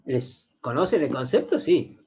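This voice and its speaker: Middle-aged man, university lecturer: animated, repetitive, keeps checking students follow. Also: mid-range voice, measured pace. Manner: explanatory, repeats key phrases, asks students rhetorical questions.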